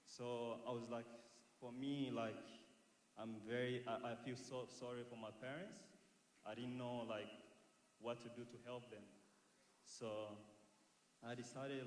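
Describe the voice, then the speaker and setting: A young man gives an informal talk to an audience, nervous and hesitant, often repeating words. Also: mid-range voice. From Congo, raised in Tanzania and later South Australia. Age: young adult. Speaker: guest speaker at a church youth expo. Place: large echoing indoor hall. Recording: echoey hall, some background noise.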